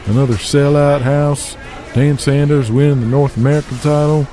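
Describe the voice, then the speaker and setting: A man's voice: fairly high pitch, steady tone, normal speed, mellow, a little hiss or hum, lightly acted. An actor playing a casual, laid-back wrestling-business man in an audio drama.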